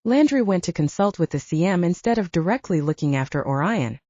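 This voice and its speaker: A woman voicing a gruff, protective man with a blunt, scolding manner in an audiobook. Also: fairly low pitch, animated, a little fast, warm tone, no room sound, dull audio. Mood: matter-of-fact. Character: gruff, bossy, protective man who cooks.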